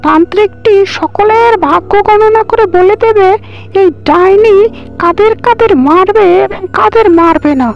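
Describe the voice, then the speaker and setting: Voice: very high-pitched, a little slow, creaky, full character voice. A performer voicing a cocky, greedy young village man in a cartoon, pushy and wheedling. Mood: eager, scheming. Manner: pushy, wheedling, dismissive of scruples. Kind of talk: cartoon dialogue. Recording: muffled dull audio with background music.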